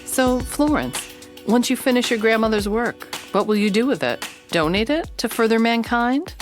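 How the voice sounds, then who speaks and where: A woman playing a bold reporter, narrating a mystery in a measured, suspenseful storytelling style. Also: fairly high pitch, lively intonation, natural voice. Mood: reflective. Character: bold female holo-caster reporter recounting a mystery. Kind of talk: scripted dramatic narration over music. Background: prominent music.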